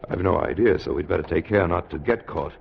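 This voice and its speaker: A man's voice: deep voice, fairly quick, gravelly. A man acting a resolute scientist in a 1950s radio drama, urgent and authoritative, with a declamatory delivery. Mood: urgent, steady. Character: resolute, commanding male scientist leading an expedition. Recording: muffled, noisy old radio broadcast.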